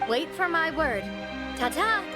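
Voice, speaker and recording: A young informant leader from a video game. She sounds high-pitched, confident and playful, with a teasing, knowing delivery over prominent music. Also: animated, measured pace. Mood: knowing, breezy.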